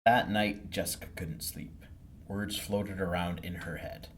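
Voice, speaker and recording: A man reading a children's story aloud as an expressive storytime narrator, with faint noise in the recording. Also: low-pitched, measured pace. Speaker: library storytime reader.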